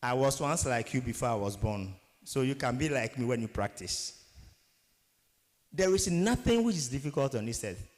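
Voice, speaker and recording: A man's voice: mid-range voice, lively intonation, normal speed. A man in his thirties from Ghana with a Ghanaian English accent, giving an emphatic motivational workshop lecture and throwing rhetorical questions at his audience. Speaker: guest lecturer. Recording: long hall reverb.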